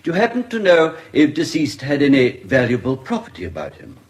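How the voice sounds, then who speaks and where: A man playing a courteous, formal English coroner presiding over an inquest in a radio drama, with measured courtroom diction. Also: fairly high pitch, wildly swooping pitch. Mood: courteous, probing.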